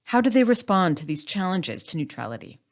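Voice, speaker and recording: A woman hosting a podcast, speaking in a measured, articulate way as she introduces guests and frames questions. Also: lowish voice, sing-song. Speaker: podcast host.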